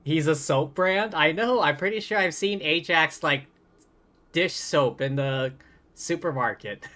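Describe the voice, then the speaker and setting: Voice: medium pitch, unhurried, bright, forceful tone. A game streamer giving casual live commentary, reading along and reacting to the game. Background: game music.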